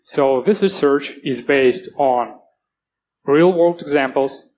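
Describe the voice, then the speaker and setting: A man with a Russian accent giving a technical security talk on a conference stage, earnest and plain-spoken, with halting restarts. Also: mid-range voice, a little mellow, low-quality recording. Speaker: conference speaker.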